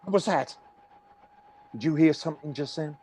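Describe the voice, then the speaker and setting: An actor playing a paranoid, beaten militia soldier in a radio play, with a jittery, stammering, emphatic delivery. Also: male voice, fairly high pitch, normal speed, a little mellow, breathy edge. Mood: suspicious, jumpy. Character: paranoid, defeated militia soldier guarding his territory. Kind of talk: radio play reading, dramatic dialogue.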